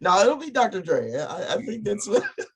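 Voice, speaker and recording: A man chatting as an interview guest, relaxed and joking as he tells stories about himself. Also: high-pitched, normal speed. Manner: relaxed, jokey storytelling. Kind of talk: casual chat interview.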